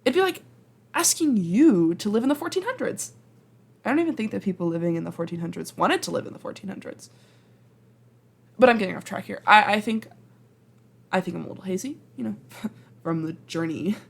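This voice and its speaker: A woman playing a flustered, awkward, chatty time traveler from the future in an ASMR roleplay, halting and rambling as she speaks to the listener. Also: mid-range voice, lively intonation, gravelly. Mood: sheepish pleading.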